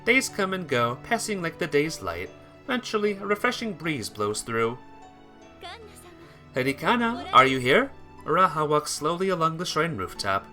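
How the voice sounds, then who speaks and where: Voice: medium pitch, sing-song, moderate pace, bright, forceful tone, soft background music. An amateur reader narrating a visual novel expressively, as a story.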